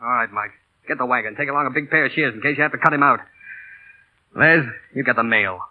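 An actor playing a tough, hard-boiled boss of mail pilots in a brusque, sardonic 1940s radio drama style. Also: male voice, medium pitch, fast talker, lightly acted. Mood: grim, bitter. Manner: brusque, sardonic, clipped commands. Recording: tinny, band-limited old radio broadcast, crowd noise.

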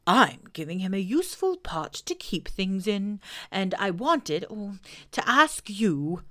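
A woman voicing a gentle, simple male bear who speaks mildly and earnestly. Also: medium pitch, big pitch swings, full character voice. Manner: earnest, mild and slow.

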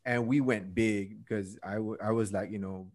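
A man in his fifties from Malaysia talks as a podcast guest, his voice sitting a little low. He tells stories at an easy pace, laughs at himself and often lets a sentence trail off. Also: fairly even intonation, moderate pace, a little mellow. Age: 50s. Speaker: podcast guest, TED speaker. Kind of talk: conversational storytelling.

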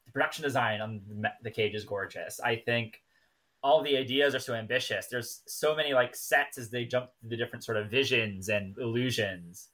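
A man's voice: medium pitch, bright, forceful tone. A man in a casual, analytical discussion on a TV review podcast. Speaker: podcast co-host.

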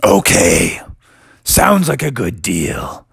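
Storybook villain: menacing mystery guardian of a treasure cave, theatrical and commanding, very slow, widely swooping delivery. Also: male voice, low voice, wildly swooping pitch, creaky, a bit bright, breathy edge, dry recording, clean studio sound, voice pushed down, heavily acted. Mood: grudging. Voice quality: scary, slow, drawn-out. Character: booming, menacing mystery voice guarding a skull-shaped cave's treasure.

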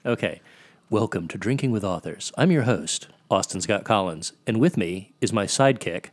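A man's voice: fairly low pitch, lively intonation, moderate pace. A man co-hosting a podcast with casual banter and wry quips. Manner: wry, quipping. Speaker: podcast co-host.

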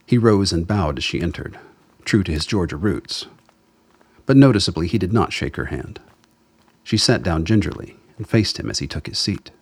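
Very deep, husky male narrator. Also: lively intonation, normal speed. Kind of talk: scripted dramatic narration.

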